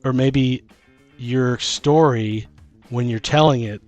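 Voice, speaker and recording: A man's voice: mid-range voice, slow pace, darkish tone. A man co-hosting a casual podcast about tabletop gaming, talking as a dungeon master in a rambling way, thinking aloud. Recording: music playing behind the talk. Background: music.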